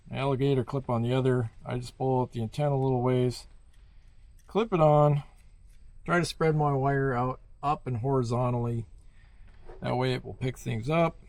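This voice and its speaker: A man's voice: mid-range voice, fairly even intonation, moderate pace, a little mellow, dry recording. A man giving a casual, hands-on product review in a plain-spoken, rambling way.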